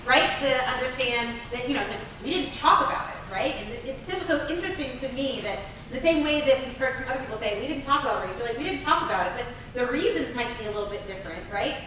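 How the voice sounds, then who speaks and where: Woman facilitator, bright and forceful, somewhat high, heard from a distance in an echoey room. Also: animated, fast, hardly any pauses. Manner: engaged, affirming, often adds "right?". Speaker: workshop facilitator. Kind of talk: leading a group discussion. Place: community workshop room. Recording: echoey room, distant mic, faint noise.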